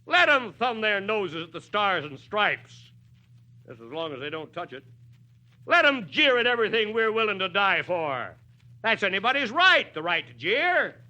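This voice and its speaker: A man's voice: high-pitched, sing-song, moderate pace, bright, forceful tone, dull audio, full character voice. A man playing a plainspoken, stubborn American small-town mayor with folksy, wry oratory, in 1940s radio drama style. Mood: earnest, defiant. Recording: old 1940s radio broadcast.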